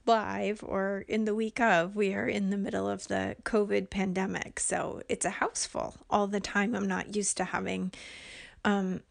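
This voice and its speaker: A woman speaks slowly and unhurriedly, her voice soft and hushed, often dropping to a near whisper. She hosts her own podcast, recorded at home late at night, and talks in an earnest, gentle way with plenty of ums. Her pitch sits in the middle of the range. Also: vocal fry. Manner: gentle and earnest, with frequent ums and light laughs. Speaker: podcast host and life coach. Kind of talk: casual solo podcast talk.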